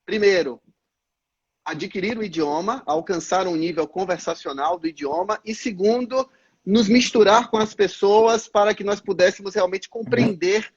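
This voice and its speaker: A man, a missionary pastor answering interview questions in a measured, explanatory way with frequent filler sounds. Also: high-pitched, breathy edge, highs cut off. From Brazil, Salvador. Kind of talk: live-stream interview answers.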